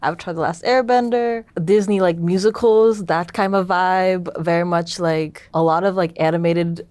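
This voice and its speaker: A woman's voice: fairly low pitch, steady tone, moderate pace, darkish tone, clear, resonant tone, no room sound. A woman explaining things casually in an interview as a co-creator, in loose lists full of "like". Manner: loose, listing way of explaining with frequent "like". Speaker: co-creator of an audio drama.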